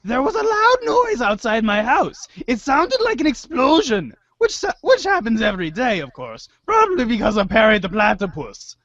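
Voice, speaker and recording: A woman voicing a goofy, excitable, theatrical male cartoon mad scientist in a comic impression. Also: on the high side, normal speed, hardly any pauses, dry recording, full character voice. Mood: excitable, dramatic. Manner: theatrical, exclamatory. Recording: muffled, dull lo-fi audio.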